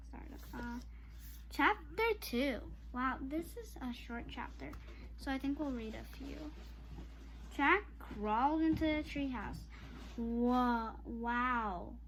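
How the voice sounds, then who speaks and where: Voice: high voice, a little hiss or hum, low-quality recording. A child reading a children's chapter book aloud to listeners in an informal way. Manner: informal, apologizes and speaks straight to listeners. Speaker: storybook reader for online viewers.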